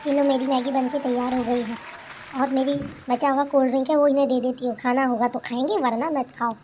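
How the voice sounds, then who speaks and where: Sassy young newlywed for a cartoon comedy, played by a woman: high voice, indignant back-talk, noisy recording. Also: steady tone, brisk, darkish tone, some chatter behind, lightly acted. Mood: smug, plotting. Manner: indignant, complaining, talks back. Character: sassy, defiant young newlywed daughter-in-law in a village. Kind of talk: Hindi cartoon family comedy dubbing.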